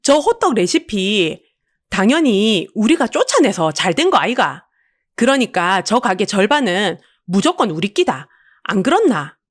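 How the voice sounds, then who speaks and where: A woman's voice: medium pitch, very animated, unhurried. A woman voicing a spiteful, greedy younger sister with a brazen, venomous manner and a Busan dialect in an audio drama. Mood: jealous, scheming. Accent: Busan Gyeongsang dialect.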